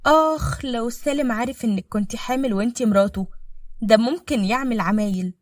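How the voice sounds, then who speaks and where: A woman's voice: on the high side, fast, dry recording, clean studio sound, pitched above the performer's own voice, lightly acted. A woman with an Egyptian accent voicing a scheming, jealous second wife who taunts in a sly, needling way. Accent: Egyptian Arabic.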